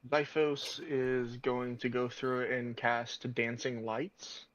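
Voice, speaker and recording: A man's voice: mid-range voice, little pitch movement, slow, a bit bright, no room sound. A man talking casually as a player in an online tabletop game, on a lo-fi microphone. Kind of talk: casual chat. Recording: dull, dry lo-fi microphone.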